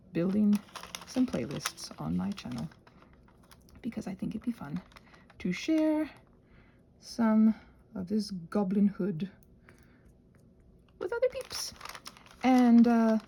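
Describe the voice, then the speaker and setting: A woman's voice: medium pitch, very animated, takes it slowly, vocal fry, long pauses, warm tone, slight background noise. A woman chatting casually and quirkily as she hosts a rambling craft video, drifting playfully between asides. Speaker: host of a crafting channel. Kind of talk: casual rambling craft chat, ASMR channel.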